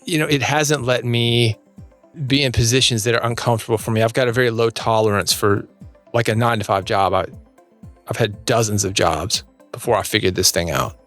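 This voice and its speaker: A man's voice: medium pitch, fairly even intonation, brisk, fairly bright tone, soft background music. A man in his forties speaking casually and candidly as an interview guest, often questioning himself. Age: 40s. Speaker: podcast guest, entrepreneur.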